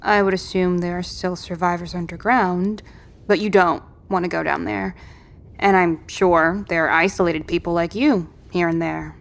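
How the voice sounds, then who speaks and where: A woman's voice: lowish voice, creaky. A woman playing a determined, world-weary apocalypse survivor in an audio drama, speaking in a terse, matter-of-fact and commanding way. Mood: grim, resolute.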